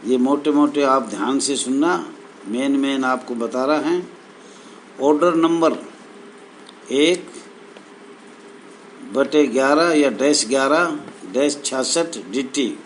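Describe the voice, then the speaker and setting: A man reading official documents aloud and explaining them in a halting, phrase-by-phrase way. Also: medium pitch, low-quality recording. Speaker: presenter explaining rules to fellow members. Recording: noticeable background noise.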